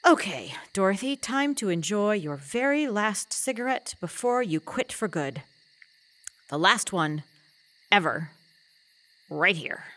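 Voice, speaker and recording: An older woman in an audio drama, with a mid-pitched, very expressive voice. She is kindly, folksy and wry, teasing gently, with night insects around her. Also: measured pace, pauses often. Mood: muttering to herself. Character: wry, kindly older small-town mother. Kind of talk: naturalistic audio drama acting.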